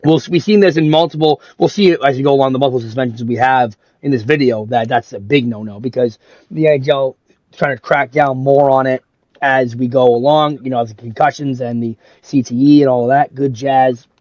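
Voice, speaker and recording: A man co-hosting a casual hockey podcast, hoarse and raspy after an illness, rambling with lots of "like" and "you know". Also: mid-range voice, brisk, darkish tone, no room sound, muffled recording. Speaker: podcast co-host.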